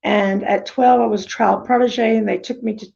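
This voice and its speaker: A woman's voice: fairly high pitch, steady tone, moderate pace, creaky, darkish tone. A woman whose first language is Greek, from Florida, telling her life story in a chatty, rambling way on a video call. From United States (Florida). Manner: chatty, rambling, self-interrupting. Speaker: summit speaker. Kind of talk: personal life story talk. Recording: dull lo-fi video call audio.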